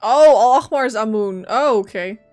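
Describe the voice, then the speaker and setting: A woman's voice: on the high side, normal speed, darkish tone, soft background music. A woman streaming a video game, chatting casually and reacting to the story as it plays. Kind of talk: casual gameplay reaction commentary.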